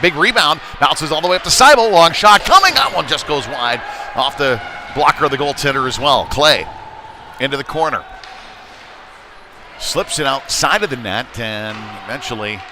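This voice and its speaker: Man calling live hockey over noisy rink. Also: mid-range voice. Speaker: play-by-play commentator. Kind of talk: live youth ice hockey play-by-play commentary. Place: indoor ice rink. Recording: noisy rink ambience, bouncing knocks.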